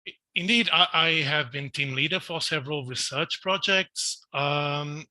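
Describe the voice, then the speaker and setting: Man with a Portuguese accent, earnest online talk, very bright tone, dry close sound. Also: a little high, measured pace, no echo. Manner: earnest, self-questioning, frequent restarts and fillers. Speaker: researcher giving a congress talk. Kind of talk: recorded online conference talk.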